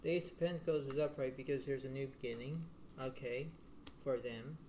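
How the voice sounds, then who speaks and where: Man, tarot card reader, casual, halting, repetitive, rambling, mid-pitched, faint background noise. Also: steady tone, dark tone. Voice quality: low-volume voice. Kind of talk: casual tarot reading, addressing the viewer. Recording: noisy homemade recording with room ambience.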